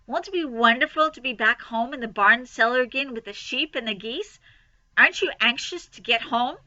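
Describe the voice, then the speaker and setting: A woman voicing an excitable young male pig in a storybook reading, high, loud and pleading. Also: moderate pace, no room sound, dull audio. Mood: eager.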